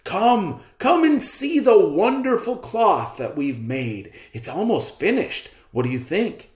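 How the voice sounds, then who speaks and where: A man voicing a sly, flattering swindler tailor in a storybook, with an effusive salesman's patter. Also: high-pitched, takes it slowly, reverberant, pitched above the performer's own voice, full character voice. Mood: eager flattery.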